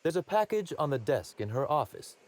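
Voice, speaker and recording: Wry, courteous man with swooping pitch. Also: sing-song, a little fast, darkish tone. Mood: pleased, courteous. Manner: wry, polished, formally courteous. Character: wry, courteous male boss of a secretive outfit. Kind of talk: conversational video game acting.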